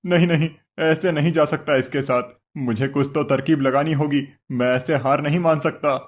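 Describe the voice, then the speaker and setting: Man acting a crafty village husband, high-pitched. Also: fairly even intonation, moderate pace, close to an everyday voice. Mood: scheming. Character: crafty cheerful village husband, wheedling trickster. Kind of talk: Hindi cartoon moral story voice acting.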